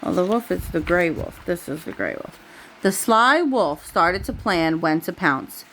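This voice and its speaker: Woman reading a kids bedtime story, slightly low voice, chatty little asides. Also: big pitch swings, a little slow, fairly bright tone, a little hiss or hum, low-quality recording. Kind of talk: bedtime story read-aloud with casual asides.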